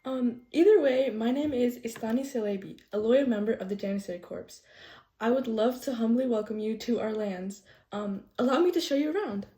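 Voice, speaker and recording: A young woman giving a scripted history presentation in character as a tour guide, polite and a little halting. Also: fairly high pitch, mellow. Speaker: student presenter playing a loyal Janissary tour guide.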